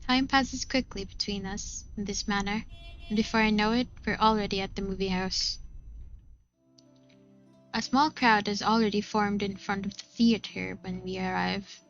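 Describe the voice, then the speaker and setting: A woman's voice: medium pitch, fairly even intonation, normal speed, lo-fi sound. A woman reading first-person visual novel narration in a dramatic storytelling style. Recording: music behind the voice.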